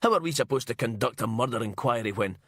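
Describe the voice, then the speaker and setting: A man's voice: medium pitch, normal speed, slightly rough, no echo, voice pushed up. Blustering middle-aged police inspector, played by a male narrator, hot-tempered and accusatory, for an audiobook crime story, recorded dry. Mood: angry. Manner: shouting, accusatory, sputtering. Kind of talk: audiobook character acting.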